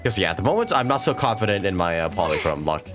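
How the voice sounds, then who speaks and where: A man with a low, lively voice chats casually as he livestreams a game, with music playing behind him. His delivery is hesitant and rambling, as if thinking aloud. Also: fast. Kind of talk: casual gaming livestream chat. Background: video game music.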